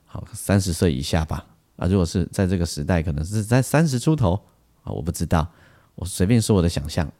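A man's voice: low voice, expressive, fairly quick, dark tone. A man with a Taiwanese Mandarin accent hosting a casual solo music show, talking in a rambling way with frequent particles and asides. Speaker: music podcast host.

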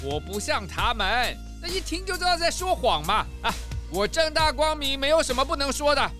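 A woman's voice: sits high, very animated, moderate pace, fairly bright tone, soft background music. A woman voicing a boastful, defensive ship's captain in a children's audio drama, blustering and self-righteous.

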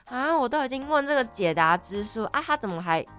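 A woman's voice: on the high side, expressive, a little fast, a bit bright, soft background music. A woman co-hosting a podcast, chatting casually about everyday topics. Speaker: podcast co-host. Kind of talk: casual scripted-topic chat.

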